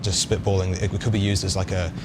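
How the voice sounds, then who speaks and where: Casting: low-pitched male developer, informal and tentative explainer, noticeable vehicle ambience behind him. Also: level tone, quick pace, heavy background noise. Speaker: game developer guest answering questions. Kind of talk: casual explanatory talk.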